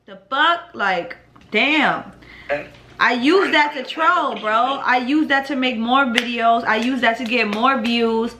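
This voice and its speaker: Blunt, foul-mouthed young woman vlogger. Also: sharp tone. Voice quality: deep voice. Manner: blunt, profane, laid-back with laughs. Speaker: vlogger answering viewer questions. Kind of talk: casual Q&A vlog. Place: living room at home, indoors.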